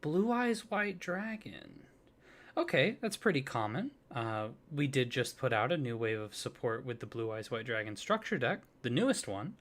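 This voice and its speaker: A man speaking softly for an ASMR roleplay, as a courteous office clerk. His voice sits at a middle pitch but moves up and down a lot, giving it a lilting, animated feel. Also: moderate pace. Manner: soft-spoken, polite customer-service patter.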